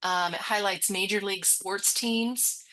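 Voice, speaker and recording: A woman with a bright, forward voice guides viewers through a website in a casual webinar. She talks in a chatty, upbeat way and giggles often. Her pitch sits in an ordinary middle range. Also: fairly even intonation, a little slow, rough recording. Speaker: library webinar co-host. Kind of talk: casual screen-share demo chat.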